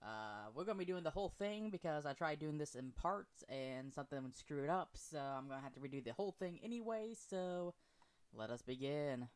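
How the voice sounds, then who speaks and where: Smooth-voiced joking man hosting a reaction. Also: on the high side, expressive, moderate pace. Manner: joking, rambling. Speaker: reaction video host. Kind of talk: casual reaction commentary.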